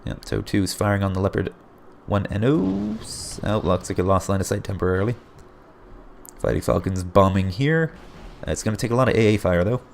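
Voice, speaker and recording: A low, gravelly man with a hoarse edge calls a game replay play by play. He keeps jumping in with surprised exclamations. A faint hiss sits under his voice. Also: fairly quick. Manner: excitable, frequent surprised exclamations. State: hoarse, voice getting raspy. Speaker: game caster.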